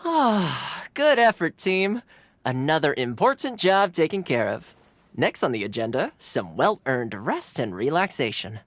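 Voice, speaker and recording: Lively, easygoing young retainer. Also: male voice, a little high, measured pace, no room sound. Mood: breezy, proud. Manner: breezy, playful, expressive. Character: easygoing, cheerful young male retainer, playfully competitive. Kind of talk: animated video game voice acting. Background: background music.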